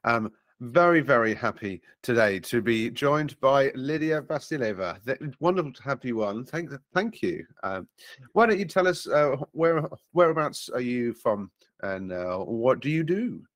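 Upbeat man hosting a podcast, lively tone. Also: medium pitch, unhurried, no echo, clean studio sound. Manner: upbeat, friendly, lively intonation. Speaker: podcast host. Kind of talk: podcast interview.

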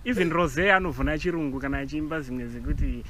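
A man with a Zimbabwean accent, talking earnestly on a casual podcast and mixing Shona into his English. His voice is bright and pitched a little high. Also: quick pace, slight background noise. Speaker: podcast co-host.

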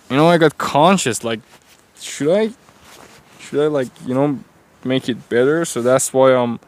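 Rambling young man with a Persian accent. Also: medium pitch. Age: young adult. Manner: rambling, halting, many fillers and restarts. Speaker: vlogger. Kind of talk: unscripted talking to camera. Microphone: phone camera.

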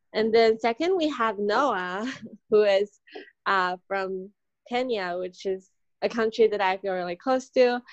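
A woman hosts a podcast in an informal, gently hesitant way. Her voice sits at an average pitch, captured very dry, with a slightly dull, lo-fi edge to the recording. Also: no echo. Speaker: podcast host. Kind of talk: introducing guests on a podcast.

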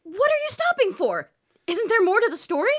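A woman's voice: extremely high pitch, very animated, fairly quick. A woman playing a gushing, eager book review host in a scripted radio comedy.

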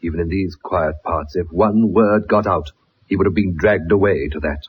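A man's voice: sits low, moderate pace, husky. An actor playing a stern, proud older colonel and protective father, grave and emphatic, in a 1960s radio play. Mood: pleading, defensive. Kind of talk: 1960s radio drama acting. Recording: muffled, dull old radio recording.

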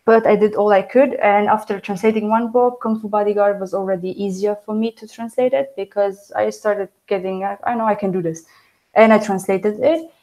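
Woman, native Romanian speaker, raised in Germany, mellow warm tone, halting restarts, interview guest. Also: medium pitch, steady tone, poor audio. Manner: halting, restarts and self-corrections. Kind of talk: casual interview talk.

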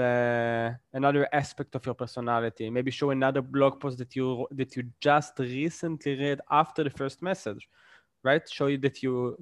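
A man's voice: mid-range voice, no room sound. A man, a guest in a casual interview, explains his points with examples and often checks in with "right?".

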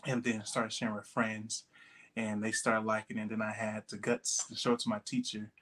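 A young man from Texas in his late twenties speaks as a podcast guest. His voice sits low and moves little in pitch. He talks in a loose, rambling way, full of little fillers. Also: little pitch movement, normal speed, a bit bright. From Texas, USA. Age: 20s. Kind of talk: casual interview talk.

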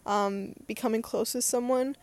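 A woman's voice: a little high, steady tone, normal speed, dry recording. A young adult woman talking in a casual, unscripted monologue as a podcast host, rambling and hesitant as she thinks aloud.

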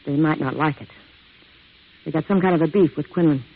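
A woman's voice: low voice, fairly quick, gravelly, slight background noise. An actress playing a cool, guarded, worldly young American woman in a 1950s radio crime drama, terse and knowing. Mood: guarded, evasive. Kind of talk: 1950s radio noir acting. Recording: thin, tinny old radio recording.

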